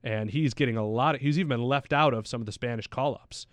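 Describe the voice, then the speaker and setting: Guy doing casual soccer talk, slangy storyteller type, mid pitch, really dry sound. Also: fast, no room sound. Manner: chatty, anecdotal, slangy. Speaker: soccer pundit on a talk show. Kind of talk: casual sports discussion.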